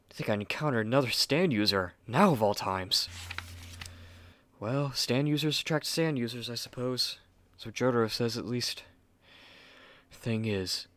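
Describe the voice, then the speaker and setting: A man's voice: lowish voice, natural voice. A man playing a haughty, impatient, self-important artist in an ASMR roleplay, speaking in a dry, condescending, theatrical way. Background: rain and thunder.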